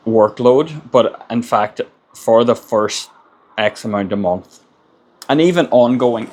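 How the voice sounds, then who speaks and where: A man's voice: on the low side, darkish tone. An Irish man, a construction business owner, gives plain-spoken advice in a casual monologue and often repeats words as he gathers his thoughts. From Ireland.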